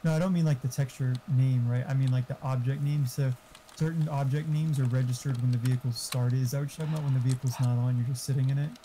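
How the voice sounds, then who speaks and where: Very dark, mellow-voiced man on voice chat. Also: mid-range voice, fairly even intonation, fast, a little hiss or hum. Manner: casual, profane, explanatory. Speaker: game modder on voice chat. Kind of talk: casual technical chat.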